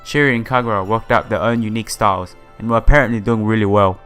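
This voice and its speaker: A man's voice: fairly low pitch, quick pace, smooth. A man hosting a casual let's-play, reading game text aloud and adding informal asides. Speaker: gaming video host. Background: soft game music.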